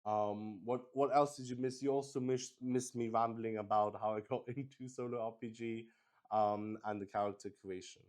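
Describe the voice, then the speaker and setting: A man's voice: mid-range voice, steady tone, moderate pace, a little mellow. A man streaming a solo tabletop roleplaying game, explaining it casually to viewers in a hesitant, rambling way.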